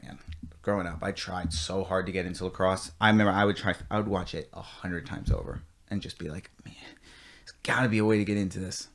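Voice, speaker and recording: A man from Virginia hosting a podcast, chatty and quick to jump in with quoted asides. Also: low voice, steady tone, a bit bright. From Virginia, USA. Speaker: podcast host. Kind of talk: casual chat.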